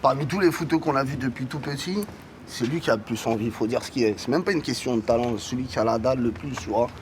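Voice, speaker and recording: A man speaks in a mid-pitched voice, emphatic and slangy, checking that his listeners agree. Faint background noise sits behind him. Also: fast.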